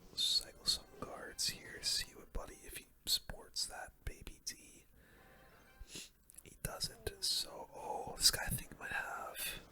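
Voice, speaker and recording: A person giving casual, slangy gaming commentary in a soft, breathy ASMR whisper, close to the microphone. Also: takes it slowly. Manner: casual slangy gamer talk, reacting to play. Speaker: gaming video host.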